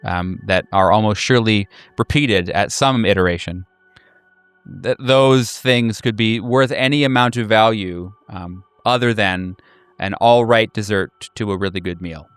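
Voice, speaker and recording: A man improvising narration as a game master, in a halting, reflective and deliberate way. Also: lowish voice, a bit bright, clean tone, no room sound. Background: faint music.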